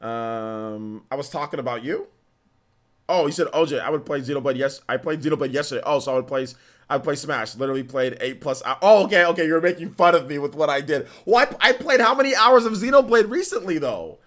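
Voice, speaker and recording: A man's voice: a little high, animated, a little fast, fairly bright tone. Rambling young man streaming live. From California. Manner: rambling, repeats himself, many restarts and fillers. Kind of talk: casual live-stream chat reading viewer questions.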